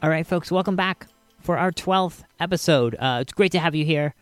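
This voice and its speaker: A man hosting a podcast, introducing an old radio show in a casual, halting way, speaking straight to listeners. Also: on the high side, faint music behind. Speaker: podcast host.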